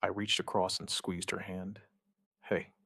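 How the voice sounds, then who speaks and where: A man playing an outbreak survivor and protective partner, telling his story in measured, understated, short plain sentences as a scripted audio drama. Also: sits low, little pitch movement, vocal fry, pushed and bright, no room sound, clean studio sound, exaggerated voice. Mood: firm, reassuring. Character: male outbreak survivor recounting events, steady and protective partner. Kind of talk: first-person scripted narration of apocalyptic audio drama.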